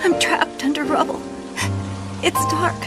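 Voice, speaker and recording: A woman playing an earnest, haunted young adventurer in a video game cutscene, with a breathy dramatic delivery. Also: sits high, steady tone, measured pace. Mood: haunted, sorrowful. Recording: game audio under loud music.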